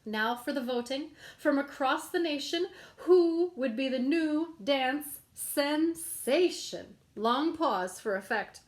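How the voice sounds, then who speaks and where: A woman's voice: sits high, animated, slow pace, few pauses. A woman reading a rhyming children's picture book aloud in a playful, sing-song storytelling manner.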